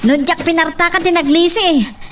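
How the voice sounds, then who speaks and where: A woman's voice: very high voice, fairly even intonation, moderate pace, recorded from a distance, a little hiss or hum. A woman acting a stern, commanding figure in an Ilocano audio drama, speaking with an Ilocano accent. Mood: brisk, instructing.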